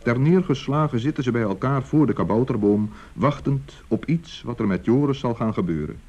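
A man's voice: mid-range voice, animated, normal speed, a little mellow. A man narrating a children's radio play as a storyteller, reading from a script. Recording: dull old radio recording.

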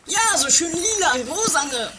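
Woman doing high excitable brash radio comedy character, bit far off, noisy old tape. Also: unhurried. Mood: puzzled, excitable. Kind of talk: amateur comedy radio play. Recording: distant, roomy, noisy 1980s amateur tape.

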